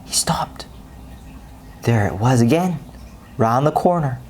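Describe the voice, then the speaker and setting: A woman narrating a children's bedtime story expressively, with dramatic pauses and deliberate emphasis. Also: very deep voice, animated, darkish tone, a little hiss or hum.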